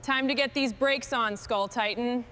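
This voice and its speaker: Higher-voiced woman giving short workshop instructions. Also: measured pace, over music. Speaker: team boss in a truck restoration workshop.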